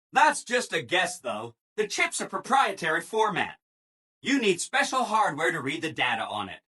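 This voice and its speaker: Cheerful robot helper, video game, bright forceful tone, very animated pitch, over-polite, perky, eager to please. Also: male voice, slightly rough, exaggerated voice. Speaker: voice actor. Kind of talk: video game character dialogue.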